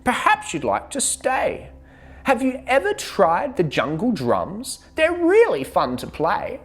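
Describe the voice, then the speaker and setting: A woman's voice: a little high, huge pitch swings, slow pace, voice pushed up, full character voice. A woman voicing a cheerful, persuasive female sheep in a children's storybook, with a sing-song manner. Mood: inviting. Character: bossy cheerful female sheep in a jungle band.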